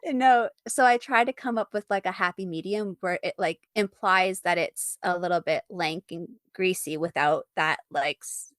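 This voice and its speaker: A woman speaking as an interview guest, an artist chatting casually about her own work in an animated, informal, giggly way. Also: on the low side, normal speed, hardly any pauses, dry recording, studio quality.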